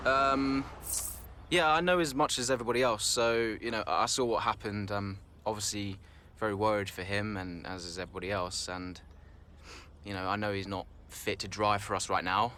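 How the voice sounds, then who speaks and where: A man's voice: fairly low pitch, fairly even intonation, normal speed, pushed and bright. A man playing a guarded racing driver in a video game interview, hesitant and noncommittal, with faint noise behind. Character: guarded, self-focused male racing driver giving interview.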